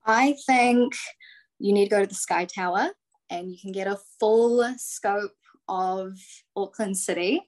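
The mid-pitched Kiwi woman you would hear dialling into a chat podcast from her phone, New Zealand accent throughout. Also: measured pace, darkish tone, rough recording. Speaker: podcast guest, calling in. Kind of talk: casual chat. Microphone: phone on a remote call.

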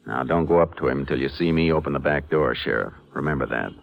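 An actor playing a tough, steady Texas Ranger in a 1950s radio western, with a curt, commanding, folksy manner. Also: male voice, a bass voice, quick pace, gravelly. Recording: muffled old 1950s radio recording.

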